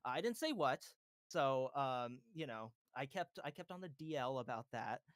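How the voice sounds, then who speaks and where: A man's voice: mid-range voice, lively intonation, moderate pace, no echo, studio quality. A man voice actor telling a story on a casual panel, rambling with many fillers and giggles. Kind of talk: casual livestream chat.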